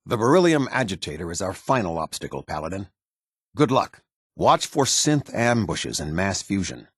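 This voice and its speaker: A low-pitched, stern airship captain from a video game. He speaks with formal, clipped military authority in a husky voice that moves expressively up and down, recorded close and dry. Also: no echo. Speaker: military officer giving orders and briefings. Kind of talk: scripted video game voice acting.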